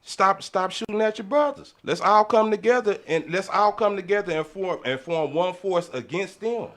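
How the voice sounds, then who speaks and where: A man's voice: sits high, normal speed, a bit bright. A man in an impassioned, casual panel discussion, emphatic and exhorting, repeating phrases to drive his point home. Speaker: podcast panelist.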